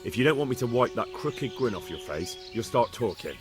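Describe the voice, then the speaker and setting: An actor playing a determined young man questioning suspects in a video game, direct and quick to threaten. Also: medium pitch, sing-song, music in the background, natural voice. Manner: direct, threatening when pushed. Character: blunt determined young man investigating an ambush.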